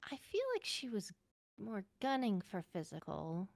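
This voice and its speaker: A woman's voice: mid-range voice, animated, a little slow, vocal fry, no echo. A woman chatting in character in an improvised roleplay, recorded with some faint noise and clicks. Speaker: roleplayer in a Minecraft court drama.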